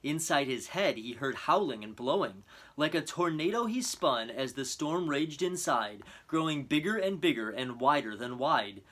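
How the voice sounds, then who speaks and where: A man's voice: fairly high pitch, expressive, moderate pace, fairly bright tone. Earnest man reading for children. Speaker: storybook reader. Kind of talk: expressive children's story narration and introduction.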